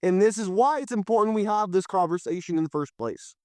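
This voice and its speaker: Young male podcast host, hoarse and fired up, clear high voice, dry sound. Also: lively intonation, a little fast, a little mellow, no echo. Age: young adult. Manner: impassioned, preachy, addresses listeners directly. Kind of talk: solo political commentary.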